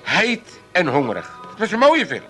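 A man's voice: high-pitched, wildly swooping pitch, moderate pace, pushed and bright, faint music behind, exaggerated voice. An actor playing a grumbling, naive older Amsterdam father in a comic radio play, stammering and blunt. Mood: sheepish, defensive.

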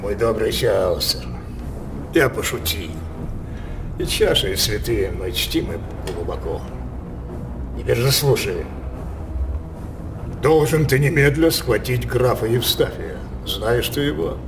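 A depraved, imperious king in a verse radio play. He declaims very slowly, teasing and pausing, at an ordinary male pitch. Music plays clearly behind him. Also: lively intonation. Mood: measured, commanding. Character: scheming, depraved king with a sinful obsession. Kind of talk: theatrical Russian radio drama in verse. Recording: studio radio play over loud music.

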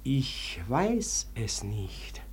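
Slow, frightened manservant, noisy recording. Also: male voice, mid-range voice, sing-song. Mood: anxious, deferential. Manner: hesitant, awed, anxious, sometimes sinking toward a whisper. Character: frightened, deferential manservant with a dread of uncanny intruders. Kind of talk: classic German radio verse drama.

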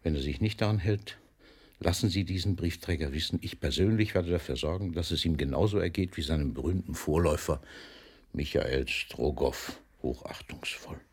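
An actor playing an older, famous poet in a German radio drama, declaiming with mockery and fatherly authority, swinging between booming and hushed delivery. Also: moderate pace, husky, full character voice. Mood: mock-indignant. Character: older famous poet, theatrical, mocking, fatherly toward a young postman.

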